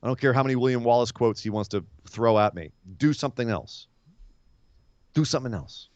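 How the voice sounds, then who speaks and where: Opinionated man, slightly hoarse, muffled. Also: mid-range voice, a little fast, dry recording. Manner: animated, opinionated, laughs easily. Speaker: podcast co-host. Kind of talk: casual wrestling podcast chat. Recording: muffled, dry remote podcast audio.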